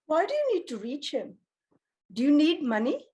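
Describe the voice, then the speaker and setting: A woman's voice: high-pitched, wildly swooping pitch, normal speed, warm tone. A woman playing an older, meddling widowed mother, dry and dramatic, in a comedy table read. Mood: prying, flirty. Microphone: video call.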